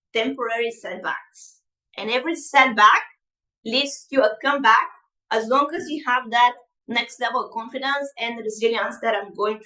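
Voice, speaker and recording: A woman's voice: a little high, bright, forceful tone, roomy sound, rough recording. A woman whose first language is Bulgarian, running a live online coaching masterclass and talking to her audience directly in an upbeat, motivational way.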